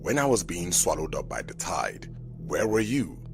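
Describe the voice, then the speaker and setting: A man's voice: low-pitched, very animated, normal speed, over music. A man voicing a young fisherman in love, candid and plain-spoken, in an audio folk tale. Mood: defiant.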